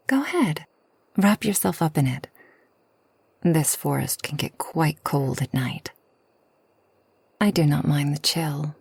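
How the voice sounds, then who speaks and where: Actress as kind, solitary forest witch for ASMR roleplay: low and creaky, soft, soothing, slightly formal. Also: no room sound, exaggerated voice. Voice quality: soft, breathy, often near whisper. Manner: gentle, unhurried, soothing, slightly formal speech. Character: kind, solitary, long-lived forest witch hosting a traveler.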